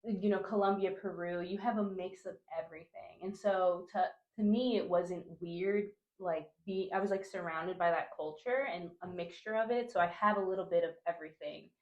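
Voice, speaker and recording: A young woman with a fairly low voice talks easily as a podcast guest. She is from Honduras and grew up in New Jersey, and her speech runs loose and chatty, full of "like" and "you know". The sound has the ring of an echoey room. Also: normal speed, hardly any pauses, dull audio. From Honduras, raised in New Jersey. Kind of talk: casual conversational interview.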